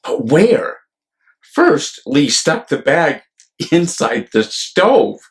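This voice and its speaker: A man reading a picture book aloud to children as a storyteller, deliberate and expressive, with explanatory asides. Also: a little high. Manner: deliberate, animated, explanatory asides to young listeners.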